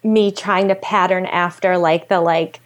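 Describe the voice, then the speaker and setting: A woman's voice: fairly low pitch, steady tone, a little slow. A woman chatting casually as a guest storyteller, informal and a little self-deprecating in the way she talks. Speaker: guest, storyteller and fiction podcaster. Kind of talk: casual chat.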